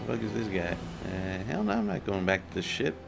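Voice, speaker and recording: A man's voice: lowish voice, very animated, fairly quick, gravelly. A man hosting a game stream with casual, sweary, joking commentary, game music playing behind him. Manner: sweary, mock-quoting. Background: video game music.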